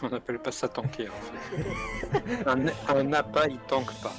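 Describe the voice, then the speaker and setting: A man playing in a tabletop game, teasing the others in an animated way. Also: mid-range voice, a little slow, over music. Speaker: player. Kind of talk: casual play chat.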